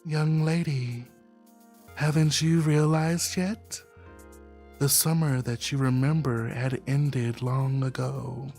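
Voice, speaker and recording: A man voicing a mysterious, dignified woman who speaks slowly and gently in a visual novel. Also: medium pitch, steady tone, faint music behind. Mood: gentle, solemn. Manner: slow, measured, poetic.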